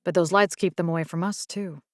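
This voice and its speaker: A resolute young vampire fighter from a video game, acted by a woman, with a lowish voice in a dry, close recording. She is earnest and caring, speaking plainly and conversationally with determination and a wry edge. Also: steady tone, brisk, no room sound, close to an everyday voice. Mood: wry, defiant.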